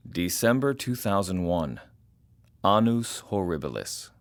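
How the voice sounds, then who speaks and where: An actor playing a solemn announcer of dates and chapter titles in a radio drama, grave and deliberate. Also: male voice, deep voice, very animated, no echo, studio quality, exaggerated voice.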